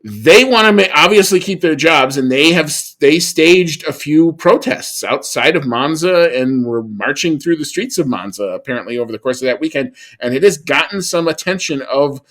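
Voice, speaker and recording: A man's voice: fairly high pitch, expressive, normal speed, hardly any pauses, a bit bright. A man co-hosting a podcast in casual chat, talking in a conversational way with frequent restarts and asides. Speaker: podcast co-host.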